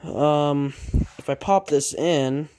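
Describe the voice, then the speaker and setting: A man talking casually off the cuff like a hobbyist repairer showing his work, halting and correcting himself as he thinks aloud. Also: fairly high pitch, darkish tone, slight background noise. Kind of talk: casual off-the-cuff workshop vlog. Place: indoor workshop at a cluttered bench.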